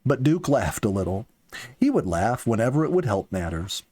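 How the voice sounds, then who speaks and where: A man hosting and reading a family audiobook as narrator, in a measured, dramatic storytelling delivery. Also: lowish voice, big pitch swings, mellow, no echo. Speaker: storyteller and host.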